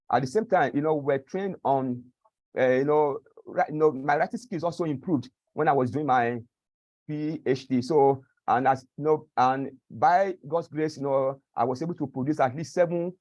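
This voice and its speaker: A man's voice: mid-range voice, a little mellow, dry recording. A male researcher answering questions on a video call, earnest and constantly saying "you know". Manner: earnest, leans heavily on the filler "you know". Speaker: award-winning researcher and webinar panelist. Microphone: video call microphone. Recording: slightly dull, lo-fi call audio.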